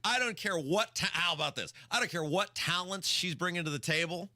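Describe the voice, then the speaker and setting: A man's voice: high-pitched, fairly quick, pushed and bright, no room sound, studio quality. A man hosting a radio talk show, giving opinionated banter in an emphatic, exasperated, repetitive ranting style.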